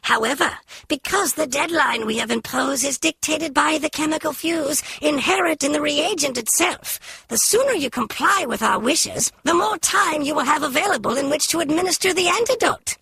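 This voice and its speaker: A woman's voice: sits high, moderate pace, gravelly, pushed and bright, full character voice. A woman voicing a haughty puppet messenger for villains in a spy game, delivering pompous, formal, theatrical threats. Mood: haughty menace. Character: menacing puppet messenger for a criminal organization, haughty and shrill. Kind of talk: scripted villain speech in a spy video game.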